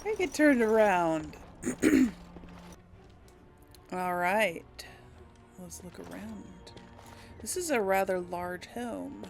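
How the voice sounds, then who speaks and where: Slow-paced, lively woman gaming host. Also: mid-range voice, soft background music. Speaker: let's play host. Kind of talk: casual gameplay commentary, thinking aloud.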